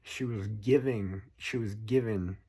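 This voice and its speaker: A man's voice: fairly low pitch, unhurried, mellow, slightly rough. A man with a Boston accent ranting at length, swearing constantly and rambling. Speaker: political vlogger. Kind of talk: profane rambling rant to camera.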